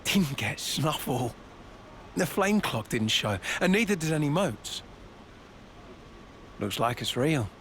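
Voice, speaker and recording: An actor playing a friendly, plain-spoken soldier in a video game cutscene, talking in a casual, colloquial way. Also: male voice, on the high side, sing-song, takes it slowly, pauses often, fairly bright tone, a little hiss or hum, full character voice. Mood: easygoing, grateful.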